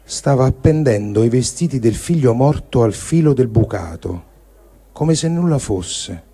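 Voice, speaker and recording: A man giving a grave, deliberate dramatic reading of a written diary, phrase by phrase. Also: medium pitch, animated, mellow, husky. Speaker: reader of written testimonies.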